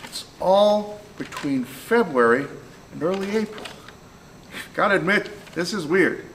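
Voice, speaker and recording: An actor as an uneasy, puzzled man in a radio play. His voice sits a little high, with faint noise on the live stage recording. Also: lively intonation, unhurried, fairly bright tone, full character voice, rough recording. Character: uneasy, puzzled man reading through strange documents. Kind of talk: stage radio-drama acting.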